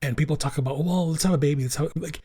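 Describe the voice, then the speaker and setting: A man's voice: fairly high pitch, racing, mellow, breathy edge. A man whose first languages were Italian and Spanish, talking earnestly on a podcast and repeating himself for emphasis. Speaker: podcast co-host. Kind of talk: casual podcast chat.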